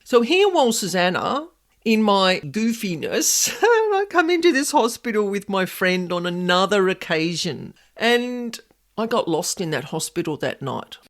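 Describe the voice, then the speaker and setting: A middle-aged woman with a loud, extravagant voice, talking casually as a podcast host. Her pitch swoops up and down with animated energy as she drifts from one tangent to the next. She sounds like she is chatting freely rather than reading. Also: a little slow. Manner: extravagant, animated, easily sidetracked. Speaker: podcast host, paranormal investigator.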